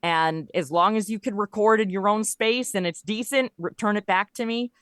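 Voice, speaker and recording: A woman chatting casually as a podcast guest, telling things in an animated, conversational way and voicing bits of dialogue as she goes. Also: medium pitch, moderate pace, dry recording, clean studio sound. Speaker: podcast guest, audio drama creator and voice performer. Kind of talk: casual interview chat.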